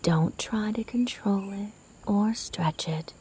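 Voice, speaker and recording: Female meditation guide: mellow, half-whispered and breathy, gentle, soothing delivery at an unhurried pace. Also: medium pitch. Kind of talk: guided sleep meditation narration.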